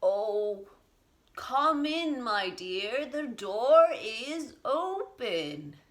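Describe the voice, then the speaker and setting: A woman acts out a sly storybook wolf disguised as a dear old granny. The words are stretched very slowly and coaxingly, in a high, swooping voice full of theatrical sweetness. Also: big pitch swings, a bit bright, heavily acted. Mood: falsely sweet. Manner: drawn-out, coaxing, theatrical endearments. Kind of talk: children's storytelling.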